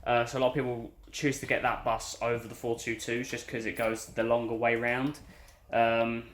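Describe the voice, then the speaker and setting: A young man with a London accent chatting casually as a bus driver, his voice hoarse and croaky, rambling with lots of fillers. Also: mid-range voice, flat delivery, normal speed, fairly bright tone, echoey room. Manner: rambling, filler-heavy, loses his train of thought. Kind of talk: casual vlog chat. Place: inside a bus cab.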